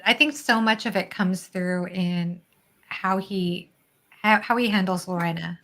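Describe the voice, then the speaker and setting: A woman's voice: on the low side, fairly even intonation, measured pace, fairly bright tone. A woman speaking casually as a panelist in a book discussion.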